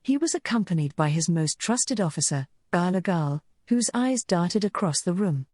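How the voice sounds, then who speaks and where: Female storyteller for visual novel narration, soft mellow tone, close dry studio sound. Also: on the low side, expressive, normal speed, dry recording. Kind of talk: scripted dramatic storytelling narration.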